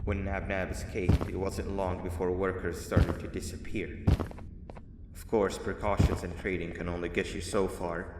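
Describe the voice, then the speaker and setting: Man playing a low-voiced measured guide, echoing hall. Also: flat delivery, recorded from a distance. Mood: measured, instructive. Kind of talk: scripted game character dialogue. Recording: echoey hall reverb, loud noise behind.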